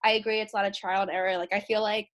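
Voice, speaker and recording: A woman's voice: mid-range voice, very fast. An American woman, a special education teacher, talking casually and peppering her speech with "like". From United States. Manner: speaks in a flat, even tone with frequent "like". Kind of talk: casual conversation.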